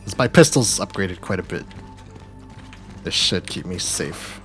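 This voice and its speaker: A man streams a horror game and comments in a relaxed, wry way, often muttering asides to himself. His voice sits low. Faint game music plays under his talk. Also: a little slow. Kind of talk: casual gameplay commentary.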